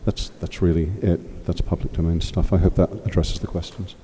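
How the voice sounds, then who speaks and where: A man giving a formal financial report at a meeting, answering questions in a measured, hesitant way with many fillers. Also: sits low, level tone, dark tone, husky. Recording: reverberant hall.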